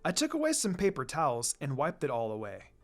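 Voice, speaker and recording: An expressive reader and channel host narrating anime fan fiction in a casual, storytelling style. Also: medium pitch, brisk. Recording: background music.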